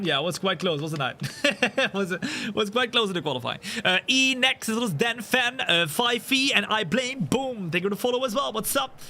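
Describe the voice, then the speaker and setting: Male sim racing streamer, bright, forceful voice, high-energy hype and chatty tangents; live stream feel. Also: slight background noise. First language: Dutch. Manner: energetic, hype shout-outs, rambling asides. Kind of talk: live stream chat while gaming.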